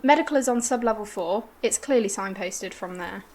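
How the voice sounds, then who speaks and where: A woman's voice: mid-range voice, brisk, a little mellow, natural voice. A woman playing a gracious but harried colony administrator in a science-fiction audio drama, formal and courteous.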